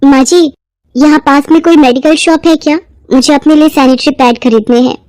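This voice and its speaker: A woman as a high-voiced, earnest young bride. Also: fairly even intonation, normal speed, darkish tone, no echo, lightly acted, low-quality recording. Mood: polite request. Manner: polite, earnest. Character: young newlywed bride, polite, earnest, modern-minded. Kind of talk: Hindi moral-story dramatization.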